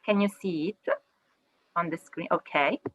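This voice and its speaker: A woman from Italy giving a careful webinar talk as a legal researcher, pausing with fillers. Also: fairly low pitch. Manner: careful, hesitant, many fillers. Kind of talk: webinar presentation. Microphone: video call. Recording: muffled video call audio.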